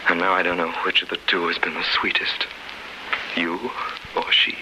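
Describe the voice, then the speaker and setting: An actor playing an anguished, devoted prisoner in a solemn, impassioned 1930s radio drama style. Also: male voice, deep voice, flat delivery, moderate pace, background noise, natural voice. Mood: tender. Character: anguished, devoted middle-aged male prisoner looking back on love. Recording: 1930s radio broadcast with music.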